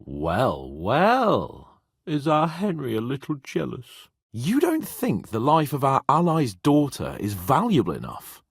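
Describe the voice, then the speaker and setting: An actor voicing a brash, teasing young medieval nobleman in a video game, proud, hot-headed and sardonic, with an expressive delivery. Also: medium pitch, slow, vocal fry, breathy edge, no echo, studio quality, full character voice. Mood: reproachful. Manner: cocky, sardonic, swearing, expressive. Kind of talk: video game voice acting, dramatic dialogue.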